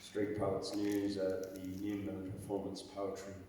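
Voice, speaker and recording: A man with a croaky voice, hosting an open mic and reciting his own poetry in a dramatic, chanting way with sudden loud rises. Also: low-pitched, monotone, unhurried, slight background noise. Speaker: open mic host and poet. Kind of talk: performance poetry reading. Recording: echoey hall, mic far off.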